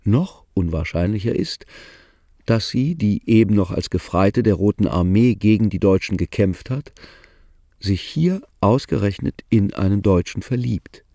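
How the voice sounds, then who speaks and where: Male narrator for a radio drama: deep, gravelly and dark, sober and wry, recorded dry in the studio. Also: expressive, no echo. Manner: measured, sober storytelling with dry irony.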